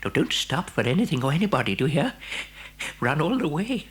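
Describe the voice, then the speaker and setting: Low-voiced, fussing, kindly shopkeeper. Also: female voice, very animated, a little fast. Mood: hushed, urgent. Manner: stammering, repeating words, chatty. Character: kindly, fussing shopkeeper serving a small boy. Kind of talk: acted audio dramatisation for children.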